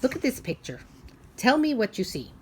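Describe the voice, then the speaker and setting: A woman teacher leading a read-aloud for young children, asking simple questions and pausing for them to think. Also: sits high, very animated, husky.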